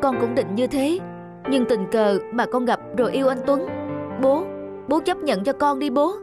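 A woman's voice: high voice, normal speed, music in the background, natural voice. A woman voicing an earnest, lovestruck young student daughter in a cartoon. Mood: pleading. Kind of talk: cartoon dub acting.

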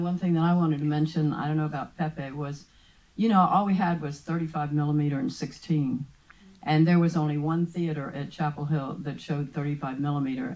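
An older woman, a film festival founder, recalling her early work in a thoughtful, unhurried way on a handheld mic at a seated panel. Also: sits low, darkish tone, lo-fi sound. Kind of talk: panel talk, reminiscing. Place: event room with perforated wall panels.

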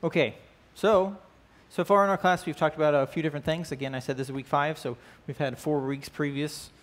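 A man's voice: a little high, fairly even intonation, a little fast. A man, teaching a church class in a casual, conversational way, often checking in with listeners. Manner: conversational, asks the class questions, frequent "right?" and "uh". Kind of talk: church class lesson with opening prayer. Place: church stage with band gear, indoors.

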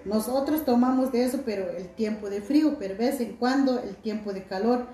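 A middle-aged woman vlogging at home by a window, chatting warmly and reading out viewer comments, recorded on a phone on the table. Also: a little high, moderate pace, echoey room. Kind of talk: casual chat to viewers.

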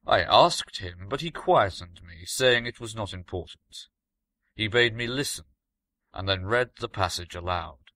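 A man reading a scripted gothic story as narrator, in a measured, dramatic manner. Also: mid-range voice, fairly bright tone, no echo.

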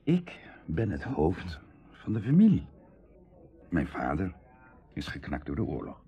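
An actor playing a proud, hard-up aristocratic bachelor in a radio drama, confiding and passionate, with emotional, dramatic delivery shifting from hushed to outbursts. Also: male voice, low voice, huge pitch swings, unhurried, breathy edge, lo-fi sound, heavily acted. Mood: earnest, confessing. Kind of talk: Dutch radio drama acting.

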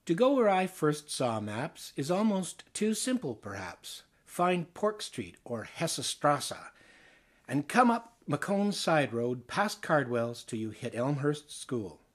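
A Canadian man from Ontario reading poems aloud, expressively and theatrically, with deliberate phrasing and pauses. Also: fairly high pitch. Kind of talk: expressive dramatic poetry reading.

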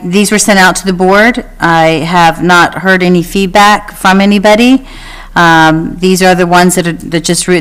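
A woman on a school board policy committee gives a formal report at a board meeting, steady and matter-of-fact, pausing often on um fillers. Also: on the low side, clean tone.

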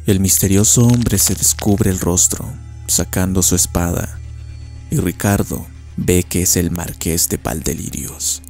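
Deep, gravelly male storyteller. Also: fairly even intonation, unhurried, a little mellow, soft background music. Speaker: narrator. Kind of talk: scripted dramatic storytelling of a legend.

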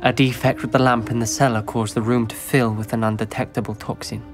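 A man's voice: mid-range voice, flat delivery, brisk, over music, natural voice. A young man with a British accent playing an anxious, principled teenage wizard in a game cutscene, grave, precise and reproachful. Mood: grave, worried. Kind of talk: video game cutscene acting.

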